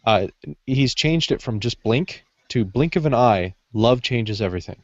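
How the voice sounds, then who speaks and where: A man chatting casually on a podcast panel, halting often and restarting as he searches for words. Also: mid-range voice, animated, no echo, highs cut off. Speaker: podcast panelist discussing writing craft. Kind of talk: casual unscripted chat.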